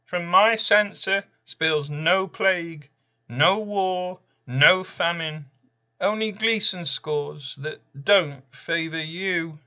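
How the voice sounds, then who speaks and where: A man voicing a smug, casual Horseman of the Apocalypse in a poem, speaking in deadpan, taunting aphorisms. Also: high-pitched, takes it slowly, muffled recording, pitched above the performer's own voice, full character voice. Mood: sly, smug. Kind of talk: dramatic poetry monologue.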